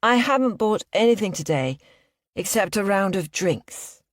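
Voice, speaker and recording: A woman's voice: medium pitch, animated, unhurried, no room sound, studio quality, pitched above the performer's own voice, natural voice. A woman reading an audiobook, voicing a drunk woman who slurs her words on the phone. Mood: tipsy. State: drunk, slurring.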